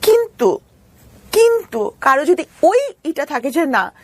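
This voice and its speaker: A woman's voice: high-pitched, very animated, unhurried. A Bangladeshi woman telling her own story as an interview guest, earnest and explanatory.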